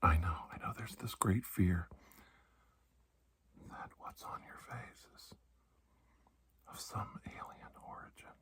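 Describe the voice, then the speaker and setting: A man whispering softly in an ASMR roleplay as a calm, reassuring doctor, speaking in halting, soothing short phrases. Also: sits low. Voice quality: breathy, mostly whispered. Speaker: ASMR performer playing a doctor.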